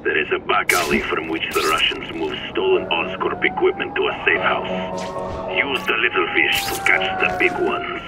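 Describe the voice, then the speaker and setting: Very deep-voiced grave master hunter. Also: male voice, wildly swooping pitch, rough recording. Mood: instructing, stern. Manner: formal, measured, grave. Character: stern, mentoring older male master hunter. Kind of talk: video game cutscene acting. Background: loud music.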